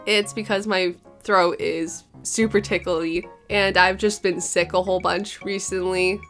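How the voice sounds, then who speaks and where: A young woman narrating her drawing process in a chatty, rambling, apologetic way, her voice a little hoarse after being sick. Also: on the low side, little pitch movement, unhurried, a bit bright, soft background music. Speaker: art channel host. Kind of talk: casual voice-over narration of a drawing.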